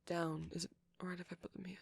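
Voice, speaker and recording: A performer playing a shy, caring vampire boyfriend in an intimate ASMR roleplay, speaking softly and breathily with hesitant pauses. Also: mid-range voice, monotone. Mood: tender, hesitant. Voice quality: breathy, often near whisper. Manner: soft-spoken, breathy, halting with gentle pauses.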